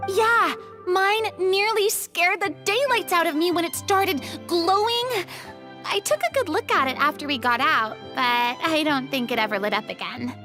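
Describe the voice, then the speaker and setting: Very high, theatrical girlish lady. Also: unhurried, faint music behind, full character voice. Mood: cheerful. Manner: expressive, dramatic. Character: theatrical, girlish young lady, earnest, cheerful and courteous. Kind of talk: scripted video game dialogue.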